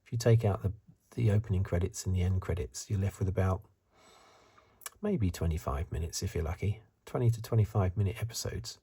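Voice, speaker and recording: Male ASMR reviewer: low, mellow, very husky and breathy, soft-spoken to half-whispered, halting musing delivery, close-miked. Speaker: host of an ASMR review channel.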